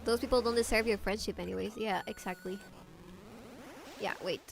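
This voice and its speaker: A woman's voice: medium pitch, fairly even intonation, normal speed, creaky, faint music behind. A young woman streaming a game, chatting casually and sarcastically with her chat, close on a desk microphone. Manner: chatty, sarcastic, reacts to chat and laughs often. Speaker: VTuber streamer.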